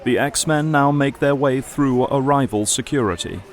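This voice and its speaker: A man's voice: medium pitch, normal speed, very noisy. A man reading scripted narration for an audio drama.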